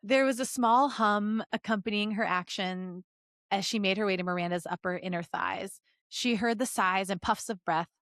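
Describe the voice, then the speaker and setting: A woman's voice: mid-range voice, normal speed, a bit bright, dry recording, studio quality. A woman podcast co-host reading fan fiction aloud in a giggly, joking way with casual asides.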